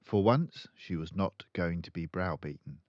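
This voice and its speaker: An older man reading a children's book aloud as an expressive storyteller. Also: sits low, normal speed, no room sound, lo-fi sound. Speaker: storybook reader.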